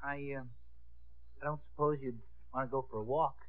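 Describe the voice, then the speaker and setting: A man's voice: medium pitch, fairly even intonation, brisk, close to an everyday voice. An actor playing a sulky, sarcastic teenage boy, grumbling and blurting scornfully in a 1950s radio play. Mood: awkward. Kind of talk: 1950s radio drama acting. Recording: narrow, lo-fi 1950s radio broadcast.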